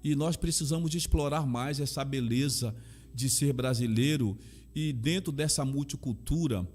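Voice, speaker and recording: A man with a Bahian Brazilian accent talks in an earnest, measured way, leaning on repetition to drive his points home. He speaks as a chaplain sharing his faith and his life story in a relaxed conversation. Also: medium pitch. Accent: Bahian Brazilian Portuguese. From Salvador, Bahia, Brazil. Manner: emphatic, repeats key phrases. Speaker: chaplain and guest.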